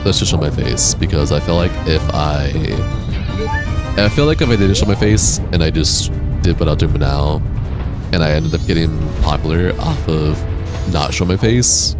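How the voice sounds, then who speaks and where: A young man with a deep voice, casually streaming a game and chatting with viewers in a laid-back, rambling way. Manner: laid-back, rambling, reads and answers chat. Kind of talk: casual live-stream chat while gaming. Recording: game music playing behind.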